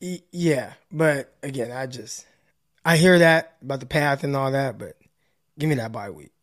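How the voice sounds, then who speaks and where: A man with a raspy voice chatting casually as co-host of a sports podcast. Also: mid-range voice, normal speed.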